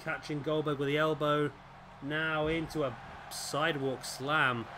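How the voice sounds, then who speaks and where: A man with a raspy, fading voice, commentating on a wrestling video game as the show's host. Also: a little high, level tone, unhurried. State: hoarse, voice fading. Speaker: host and commentator of a gaming series. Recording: clearly noisy background.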